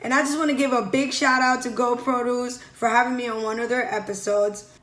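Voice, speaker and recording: A woman's voice: on the high side, flat delivery, fairly quick, fairly bright tone. A woman sending in a casual recorded message as a listener, in an echoey room. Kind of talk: casual recorded shout-out and question.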